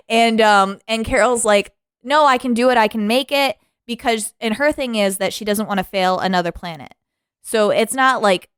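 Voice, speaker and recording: A woman with a smooth, clear voice co-hosts a podcast in casual chat. She rambles through retellings, swears, and acts out the lines she quotes. The recording is dry and close, with no room sound. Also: mid-range voice, no room sound. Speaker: podcast co-host.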